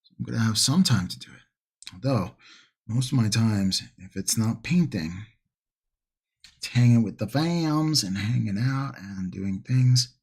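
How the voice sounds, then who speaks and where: A man hosting a casual painting livestream, chatting to viewers in an unhurried, rambling way as if musing to himself, with a husky, gravelly voice. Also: lowish voice, animated, a little mellow. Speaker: livestream host, miniature painting hobbyist.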